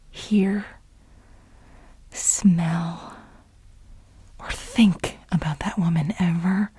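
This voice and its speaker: A woman playing an obsessive, scheming coworker pining for her married boss, whispering dramatically and insistently in a soft-spoken ASMR role play. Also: mid-range voice, a little hiss or hum, close to an everyday voice. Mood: fed up, insistent. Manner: breathy whispering, dramatic, insistent, with halting repetitions.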